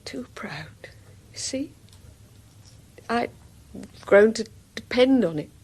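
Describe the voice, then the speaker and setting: An actress playing an anguished, frightened young wife in a radio drama, breathy and halting, often slipping into whispers. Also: female voice, fairly high pitch, lively intonation, a little mellow, exaggerated voice. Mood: haunted, bitter. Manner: halting, fragmented, lingering delivery.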